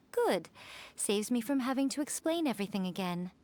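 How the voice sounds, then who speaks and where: A woman's voice: fairly high pitch, a little mellow. A woman voicing a sly, elegant fox shrine priestess in a video game, playful, teasing and self-assured. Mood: teasing, pleased. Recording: music behind the voice.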